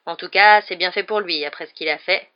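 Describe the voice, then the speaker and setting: A woman's voice: fairly low pitch, brisk, highs cut off, close to an everyday voice. A woman voicing a cocky, gossipy young male bus conductor from a storybook, smug and dramatic, tossing in tag questions. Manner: chatty, ends remarks with tag questions.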